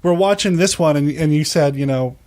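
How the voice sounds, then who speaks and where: Higher-pitched man hosting a podcast, dry close sound. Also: a little fast, darkish tone, no echo. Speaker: podcast host. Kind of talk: solo show intro and casual interview chat.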